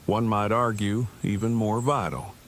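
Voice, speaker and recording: A man playing a stiffly formal, low-voiced engineer. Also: steady tone, unhurried, a little hiss or hum. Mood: polite, reserved. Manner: verbose, stilted, formal phrasing. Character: stiffly formal, earnest, awkward brainy male engineer. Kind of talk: fan audio drama dialogue.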